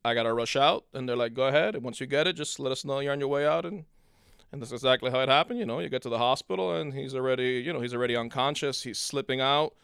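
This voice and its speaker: A man's voice: medium pitch, quick pace, vocal fry, fairly bright tone, clear, resonant tone, no room sound, clean studio sound. A middle-aged man from Cuba in a casual conversation, telling a long story with many restarts and repeated words.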